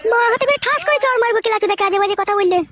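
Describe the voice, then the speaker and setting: A woman voicing a shrill, scolding, feisty village mother-in-law in a comedy cartoon. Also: racing, noisy background.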